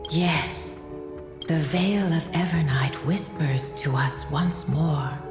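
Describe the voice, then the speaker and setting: Game casting: mystical woman chief, grave, low and slow, over clear music. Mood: grave, foreboding. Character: grave female village chief, mystical and measured. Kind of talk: scripted game voice acting. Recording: echoing hall reverb, music behind.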